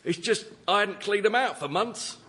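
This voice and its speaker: An actor playing a cheeky, gruff old London caretaker in a radio sitcom, blunt and matey in manner. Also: male voice, high voice, animated, normal speed, sharp tone.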